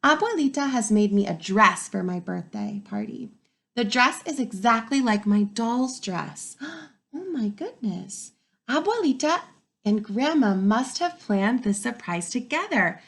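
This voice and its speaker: Female teacher for children's read-aloud, slightly high voice with creak, expressive, inviting questions from young listeners. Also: a little slow. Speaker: kindergarten teacher reading a picture book aloud.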